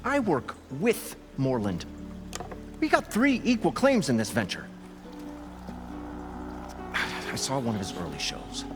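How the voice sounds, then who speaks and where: Slow, weary, grumbling moneyman. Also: medium pitch, huge pitch swings. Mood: grudging, weary. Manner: sardonic, sighing, exasperated. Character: weary, grumbling male moneyman of a showmen's troupe. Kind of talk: video game dialogue acting. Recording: loud music behind the voice.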